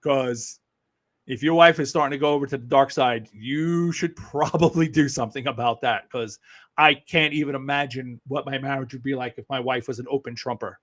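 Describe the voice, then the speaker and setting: A man's voice: medium pitch, normal speed, a bit bright. A man with a New York accent, hosting a casual livestream, talking bluntly with sarcasm and frequent swearing. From Brooklyn, New York. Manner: blunt, sarcastic, swears freely and laughs often. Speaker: political livestream host. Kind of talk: casual live-stream chat.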